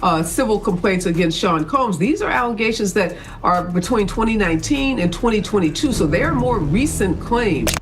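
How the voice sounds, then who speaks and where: A woman's voice: mid-range voice, expressive, moderate pace, hardly any pauses. Woman giving news commentary, faint music.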